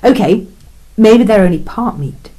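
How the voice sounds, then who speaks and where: A woman's voice: medium pitch, expressive, a little slow, dark tone, breathy edge, low-quality recording. A woman voicing an incredulous female alien official who questions everything in a wry, skeptical way in a two-voice science fiction story reading.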